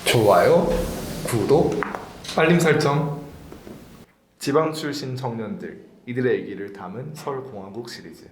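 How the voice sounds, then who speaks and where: A man's voice: mid-range voice, a little slow, a little hiss or hum. A young man in his twenties from the south of Korea, hosting and narrating his own story in a chatty, informal way. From South Gyeongsang, Korea. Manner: chatty, informal, animated intonation. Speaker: host and narrator. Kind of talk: casual first-person vlog narration. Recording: echoey with music behind.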